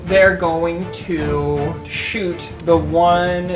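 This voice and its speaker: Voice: medium pitch, steady tone, very slow. A tabletop game player casually calling out attacks and moves. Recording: music bed behind the voice.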